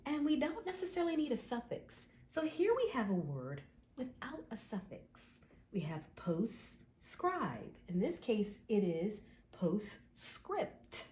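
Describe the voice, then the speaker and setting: A woman teacher explaining word roots slowly and patiently, thinking aloud and repeating the words she explains. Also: a little high, huge pitch swings, a little mellow, reverberant, slight background noise. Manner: slow, patient, drawn-out explaining.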